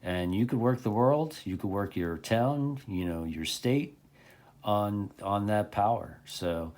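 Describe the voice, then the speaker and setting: Male hobbyist presenter, low and very mellow, informal recommendation style with halting, repetitive phrasing. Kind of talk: casual product recommendation talk.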